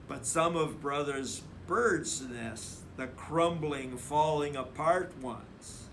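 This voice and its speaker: A man reads a picture book to children with deliberate emphasis. His voice sits fairly high and is bright and clear in projection, and he takes his time over each phrase. There is faint noise behind him. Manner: emphatic, deliberate, acts out dialogue. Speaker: read-aloud storyteller.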